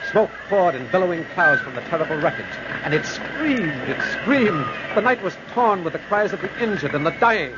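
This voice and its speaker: A man's voice: high-pitched, sing-song, moderate pace, hardly any pauses. A man playing an earnest railway man telling a supernatural tale with theatrical intensity and suspense, in old radio style. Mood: horrified excitement. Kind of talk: 1940s-style dramatic radio narration. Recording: dull, faintly noisy old radio recording.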